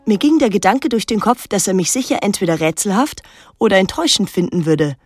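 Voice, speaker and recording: An actress playing a wary, withdrawn teenage daughter who narrates her island story in a measured, introspective radio drama style. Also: mid-range voice, very animated, a little mellow, dry recording, studio quality, faint music behind. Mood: reflective.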